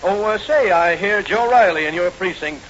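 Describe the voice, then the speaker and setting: An actor playing a smug, sly crooked lawyer who banters with amusement in 1940s radio style. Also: male voice, sits high, moderate pace, fairly bright tone. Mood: sly, amused. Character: smooth, smug, vain crooked lawyer, past youth. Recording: muffled, hissy old radio recording.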